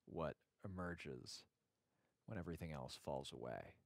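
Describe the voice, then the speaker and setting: Deep gravelly guy doing a chill guided meditation, gentle, lots of pauses, really dry close sound. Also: no echo. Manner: gentle, reflective, halting with long pauses. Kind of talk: guided meditation, informal and conversational.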